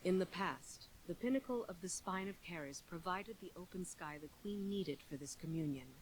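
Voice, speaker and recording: An actress playing a dutiful royal lieutenant, formal, composed and direct, giving a video game mission briefing. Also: female voice, fairly low pitch, lively intonation, moderate pace, slight background noise, lightly acted, poor audio. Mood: resolute.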